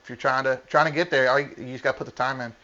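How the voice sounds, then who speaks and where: A man, a gym coach answering interview questions in a plain-spoken, halting way. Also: mid-range voice, fairly even intonation, bright, forceful tone. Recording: muffled lo-fi audio.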